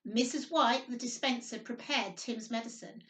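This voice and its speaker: Woman, nursery storyteller, high-pitched and sing-song, speaking simply to little ones; roomy, echoing sound. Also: moderate pace, fairly bright tone. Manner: sing-song, simple child-directed talk with frequent tag questions. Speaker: children's storytime host, nursery worker. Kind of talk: reading picture book aloud to young children. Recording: roomy echo, distant microphone.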